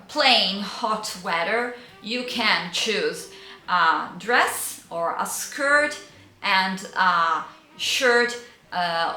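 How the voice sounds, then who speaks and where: A woman with an Italian accent, a native Italian speaker, giving casual style advice in a vlog, often pausing to search for words. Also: medium pitch, roomy sound. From Italy. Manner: hesitant, searching for words, frequent fillers and soft laughs. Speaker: vintage style vlogger and yoga teacher.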